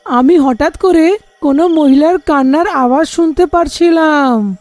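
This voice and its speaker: A woman's voice: high voice, unhurried, a little mellow, no room sound, faint music behind. A woman voicing an earnest little boy, a younger brother, in a cartoon story. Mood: startled, earnest.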